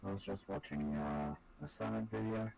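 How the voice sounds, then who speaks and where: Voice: deep voice, moderate pace, vocal fry, darkish tone, low-quality recording. A person chatting casually as a co-commentator on a game, with teasing, offhand questions and remarks. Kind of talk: casual chat.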